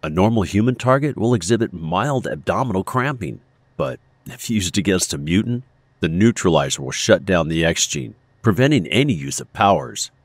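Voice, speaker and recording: An earnest, cautious male inventor from an audio drama played by an actor. His low voice moves expressively as he explains technical matters or objects with emphasis. The recording is dry and close. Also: expressive, normal speed, no echo. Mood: measured, explanatory.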